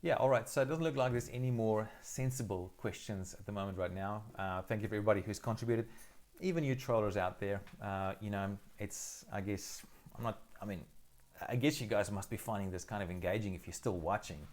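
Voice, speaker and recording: A man's voice: lowish voice, brisk, darkish tone. A middle-aged man from South Africa, whose first language is Afrikaans, hosting a casual live Q&A from his phone and answering viewer questions thoughtfully, with many fillers and restarts.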